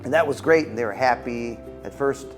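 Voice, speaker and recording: A middle-aged man, a physician guest, answering interview questions in an earnest, halting way with many fillers. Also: medium pitch, music in the background. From United States. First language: Macedonian.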